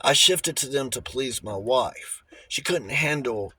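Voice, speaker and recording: A man's voice: medium pitch, animated, unhurried, sharp tone, slight background noise. A man voicing an offhand, rather weary male client in an audiobook, speaking plainly. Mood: plain, explaining. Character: Hugh, a weary, easily bored male client.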